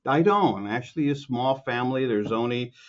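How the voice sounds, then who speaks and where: A man's voice: mid-range voice, lively intonation, normal speed, muffled recording. A man from Chicago, a composer guest, answering interview questions in a rambling way with many restarts.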